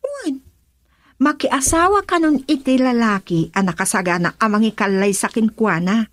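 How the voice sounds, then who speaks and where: A woman's voice: a little high, animated, moderate pace, no room sound, studio quality, close to an everyday voice. A woman acting a concerned young friend in an Ilocano radio drama, with an Ilocano accent. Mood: worried.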